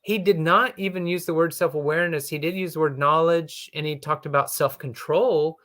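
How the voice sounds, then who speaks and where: A man explaining leadership lessons in a casual, thoughtful way, often pausing with "you know". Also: on the high side, fairly even intonation, fairly bright tone.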